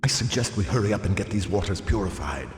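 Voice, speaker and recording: In an echoing hall with faint ambience, a game hero's practical companion. The mid-pitched voice is insistent and unsentimental, rising and falling freely. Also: male voice, animated, quick pace, slight background noise. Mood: brisk, practical. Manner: matter-of-fact, insistent. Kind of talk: video game cutscene acting.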